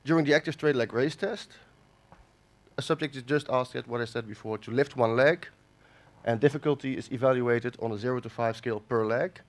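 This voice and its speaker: A man from the Netherlands gives a conference lecture while nursing a cold. His delivery is halting, full of fillers and restarts, at a middling pitch. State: has a cold. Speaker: conference speaker. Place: conference hall.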